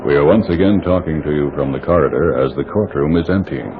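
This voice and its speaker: A man's voice: rumbling low, brisk. A man announcing in the scripted style of an old-time radio program. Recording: muffled old radio, crowd behind.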